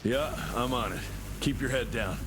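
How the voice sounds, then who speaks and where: An actor playing a cool, rugged American monster-hunting hero in a video game, laconic and wry with a drawl. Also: male voice, medium pitch, normal speed, noisy background, lightly acted. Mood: terse. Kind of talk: dramatic video game acting. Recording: loud music behind the voice.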